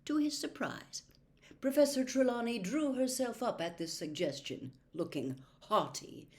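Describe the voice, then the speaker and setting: A woman reading a novel aloud as an expressive storybook narrator. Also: fairly high pitch, a little slow. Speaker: audiobook reader.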